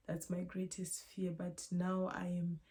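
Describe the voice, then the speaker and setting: A young woman from Zimbabwe, speaking in a halting, emotional, reflective way in a personal vlog. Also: lowish voice, completely flat delivery, mellow. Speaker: vlogger.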